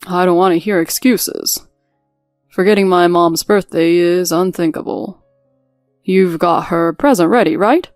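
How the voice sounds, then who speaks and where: A woman's voice: lowish voice, unhurried, a little mellow, no room sound, studio quality, soft background music. A woman voicing a demanding, accusatory husband in scripted story dialogue. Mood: accusing. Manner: scolding, accusatory. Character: demanding, whiny husband siding with his mother.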